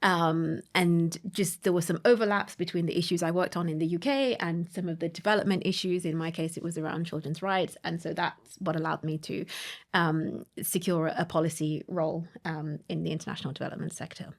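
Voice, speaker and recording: Woman, lower voice, reflective podcast talk. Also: steady tone, brisk. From United Kingdom. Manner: reflective, with frequent ums and laughs. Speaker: podcast co-host. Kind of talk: conversational podcast storytelling.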